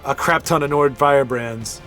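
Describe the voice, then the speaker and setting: A man's voice: medium pitch, moderate pace, loud music behind the voice. A man giving casual play-by-play commentary on card game matches, rambling into chatty asides and correcting himself as he goes.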